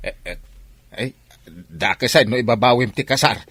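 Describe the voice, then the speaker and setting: A man's voice: medium pitch, moderate pace, fairly bright tone, a little hiss or hum, close to an everyday voice. An actor playing an older, anxious, exclaiming father in a radio drama, with an Ilocano accent. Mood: agitated. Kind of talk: Filipino radio drama acting.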